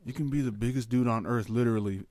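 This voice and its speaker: A young man co-hosting a casual podcast, talking bluntly with lots of swearing and animated opinions. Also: medium pitch, quick pace, no room sound. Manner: blunt, profane, animated fight talk. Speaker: podcast co-host. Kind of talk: casual chat.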